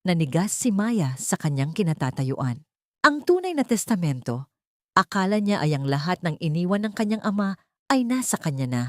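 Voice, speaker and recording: A woman's voice: on the low side, very animated, moderate pace, dry recording, clean studio sound. A woman narrating a scripted dramatic audio story.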